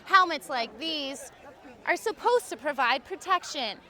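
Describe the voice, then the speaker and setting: A woman in her twenties reading a scripted news report as a student reporter, with clear background noise. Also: sits high, lively intonation, a little slow, pushed and bright. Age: 20s.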